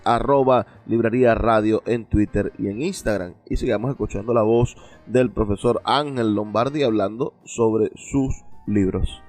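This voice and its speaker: A man's voice: medium pitch, darkish tone. A man with a Venezuelan accent presenting a radio show, using emphatic, repeated phrasing as he addresses listeners. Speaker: radio host.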